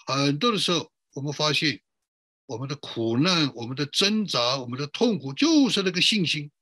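A man preaches as a pastor, his voice fairly high and bright with a husky edge. He is emphatic, stacking short repeated phrases and questions as he teaches. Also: sing-song, moderate pace, no echo, studio quality. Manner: emphatic, repetitive listing. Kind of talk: sermon, teaching with rhetorical questions.

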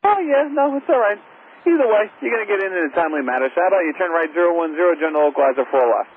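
Fast-talking American air traffic controller, tinny radio. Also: male voice, sits high, expressive. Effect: aviation radio. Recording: tinny narrow-band aviation radio, faint static.

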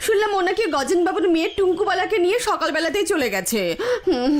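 Coquettish, aggrieved cartoon young lady: extremely high, swooping, pleading voice over music. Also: lively intonation, fast talker, noisy background. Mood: coy, gossipy. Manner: pleading, melodramatic complaining. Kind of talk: Bengali comedy cartoon voice acting. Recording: music under the voice.

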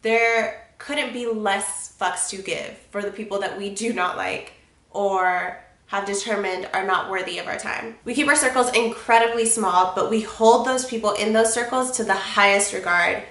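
A woman in her twenties talks straight to camera from her bed, bright and forward in tone, her voice a little congested from a cold. She sounds frank and confiding and swears casually. The bedroom lends a noticeable echo. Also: mid-range voice, moderate pace. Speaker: vlogger.

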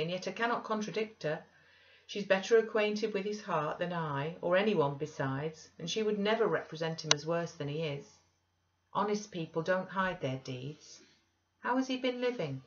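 A woman's voice: medium pitch, muffled recording, natural voice. A woman voicing a frank, cautioning female servant confidante in an audiobook reading.

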